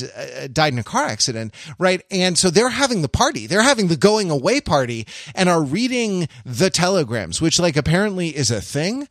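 A man's voice: fairly high pitch, no echo. Man thinking aloud, dry close sound. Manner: halting, repeats phrases while thinking. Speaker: podcast host. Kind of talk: casual analytical discussion.

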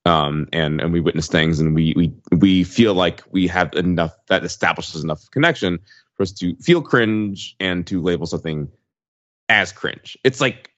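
A man's voice: low-pitched, normal speed, a bit bright, muffled recording. A man co-hosting a casual podcast discussion, musing tentatively and checking for agreement as he goes. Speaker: podcast co-host.

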